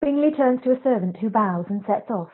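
Woman narrating a play script, bit raspy, dull lo-fi call sound. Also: fairly high pitch, expressive, fairly quick. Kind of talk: scripted narration of stage directions. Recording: dull, lo-fi video-call audio.

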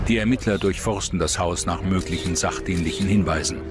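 A man's voice: deep voice, fairly quick, loud music behind the voice. A man narrating a true-crime documentary from a script, as its narrator.

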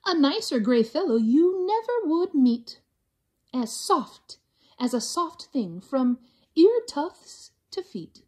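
High-pitched, animated woman reading a story. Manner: theatrical, drawn-out delivery. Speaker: teacher reading aloud to children. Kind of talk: expressive storybook read-aloud in rhyme.

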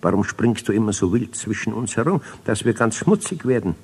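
Fussy scolding storybook hen, done by an older man, husky and animated, reverberant cassette sound. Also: fairly low pitch, voice pushed up, full character voice. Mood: indignant scolding. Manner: scolding, hurried. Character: indignant brown hen, fussy scolding farmyard bird. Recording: reverberant old cassette transfer.

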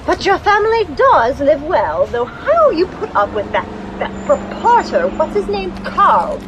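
Lady acting a bossy rich girl in an audio drama, high swingy voice, super sardonic, noisy background. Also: huge pitch swings, unhurried, full character voice. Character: brash, sardonic, bossy young society woman, impatient. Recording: clear background noise.

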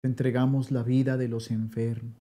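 A man in his thirties, a priest leading Mass and adoration prayers slowly and solemnly in a small chapel. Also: medium pitch, little pitch movement, dark tone. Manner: slow, solemn liturgical delivery.

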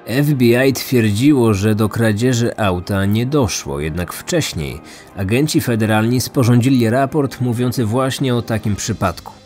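A man's voice: mid-range voice, normal speed, darkish tone. A man hosting a podcast with scripted true-crime narration. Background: faint music.

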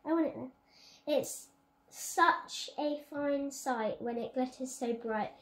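A woman's voice: sits high, slow pace, fairly bright tone. A young girl reading a children's picture book aloud on her bed, with chatty asides. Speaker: young reader. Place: bedroom, on a bed. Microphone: phone camera on a stand. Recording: echoey room.

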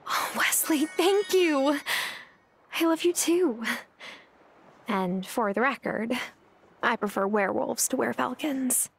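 Sweet, high-voiced young witch. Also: animated, slow, gravelly, long pauses, husky, full character voice. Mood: affectionate. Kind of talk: video game cutscene voice acting.

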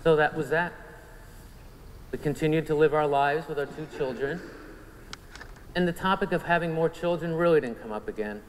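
A man in his forties speaks with a clear Long Island New York accent and a somewhat high voice, telling his faith story in an earnest, storytelling way. The long reverb of a big church sanctuary trails after his words, with faint room noise underneath. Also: normal speed, long pauses. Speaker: conference speaker giving testimony. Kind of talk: personal faith testimony to a men's conference.

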